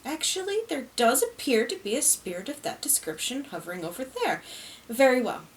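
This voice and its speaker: A woman's voice: high voice, very animated, brisk, slight background noise. A woman doing a haughty, testy angel. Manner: lofty, precise, testy. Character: haughty male angel speaking through a medium.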